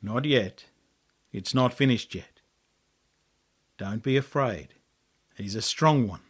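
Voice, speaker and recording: A man voicing a calm, reassuring old grandfather in a story, quiet and unhurried, with an Australian accent. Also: mid-range voice, slightly rough, pitched below the performer's own voice.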